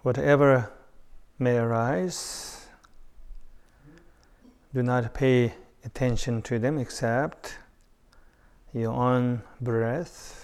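A man giving unhurried guided meditation instructions with long pauses. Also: medium pitch, steady tone, a little mellow, smooth.

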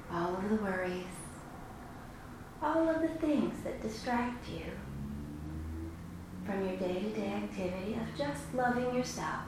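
A woman guiding a meditation in a slow, soothing, instructive way with long pauses. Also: medium pitch. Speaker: meditation guide. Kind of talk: guided meditation. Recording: very echoey room, clearly noisy background.

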